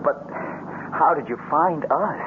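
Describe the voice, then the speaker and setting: A man's voice: fairly high pitch, takes it slowly. An actor playing a worn, sardonic but tender male survivor in an old radio drama. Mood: subdued, puzzled. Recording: tinny, noisy old radio broadcast.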